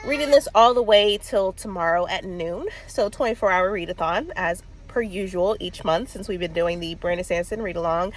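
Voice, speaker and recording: A woman's voice: on the low side, normal speed, few pauses, poor audio. Woman, 30s, congested with a cold, casual vlog chat, chatty and rambling. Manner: chatty, rambling, many fillers and laughs. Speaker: book vlogger. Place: in a car.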